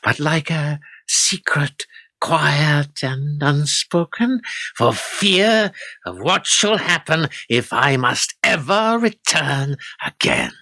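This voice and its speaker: An actor playing a cruel, menacing middle-aged lord in a radio play, speaking in archaic, theatrical phrases. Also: male voice, a little high, expressive, takes it slowly, bright, forceful tone, no room sound, full character voice. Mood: gloating menace.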